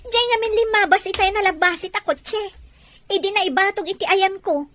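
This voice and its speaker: A woman's voice: very high-pitched, brisk, fairly bright tone, a little hiss or hum. A woman playing a young village fish seller, a dutiful and plain-spoken daughter in a radio drama. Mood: indignant.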